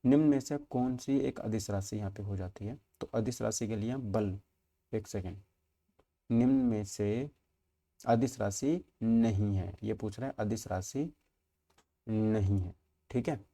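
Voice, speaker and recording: Man, lowish pitch, very mellow dark tone, informal teacher, explanatory, checks in often. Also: unhurried. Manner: explanatory, with frequent check-in tags and repeated points. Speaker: teacher in an online exam-preparation class. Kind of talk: informal lesson walking through multiple-choice questions.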